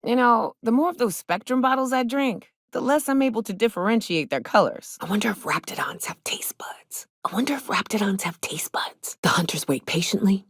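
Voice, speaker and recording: An actress playing a tough, wry mercenary hunter in a video game, with a husky, raspy voice and a casual, dry manner. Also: female voice, fairly high pitch, a little fast, hardly any pauses, dry recording. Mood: hushed, dry. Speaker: companion character in a video game. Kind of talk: video game voice acting, short barks and banter.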